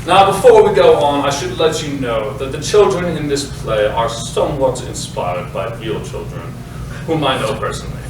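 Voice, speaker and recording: A man's voice: mid-range voice, expressive, moderate pace, natural voice. Man playing a pompous playwright in very echoey room. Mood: self-important. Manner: pompous, theatrical. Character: pretentious, self-important male playwright presenting his play. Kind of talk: staged reading, mock-grand introduction to audience. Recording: very echoey hall, distant, noisy.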